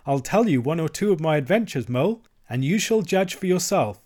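A man voicing a vain, excitable storybook toad with a boastful, melodramatic way of talking. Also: on the high side, brisk, pitched above the performer's own voice. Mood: smugly boastful. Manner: self-congratulating, melodramatic.

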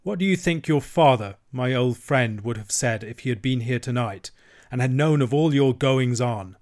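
Storybook badger, older male: authoritative, fatherly, admonishing, measured delivery acted by a male narrator. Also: medium pitch, animated, a little mellow, lightly acted. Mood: severe.

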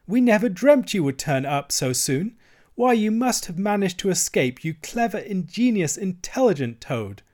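A man voicing an excitable, blunt storybook mole with an exclamatory, plain-spoken manner. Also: mid-range voice, normal speed, lightly acted. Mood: delighted.

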